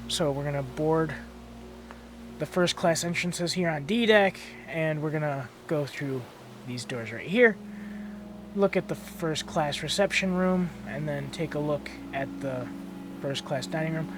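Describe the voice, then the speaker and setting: A young man with a gravelly, hoarse voice, tired and just woken up, casually narrating a gameplay tour from his bedroom, swearing freely and tripping over and correcting his words. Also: a little high, measured pace, noisy background. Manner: stumbles and corrects own words. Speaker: channel host touring a build. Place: bedroom at home.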